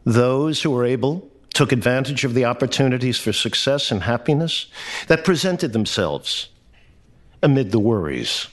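A man reading a literary short story aloud in a measured, expressive and deliberate way. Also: medium pitch. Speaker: story reader.

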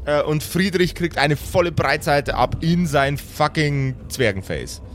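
A man's voice: on the high side, a little slow, a little hiss or hum. A man acting as game master, narrating an improvised tabletop game and slipping English words and swearing into his talk.

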